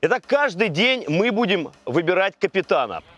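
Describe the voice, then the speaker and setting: A man's voice: high-pitched, sing-song, moderate pace, fairly bright tone, no room sound, muffled recording. A man hosting a reality show, presenting and briefing the contestants. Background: faint music.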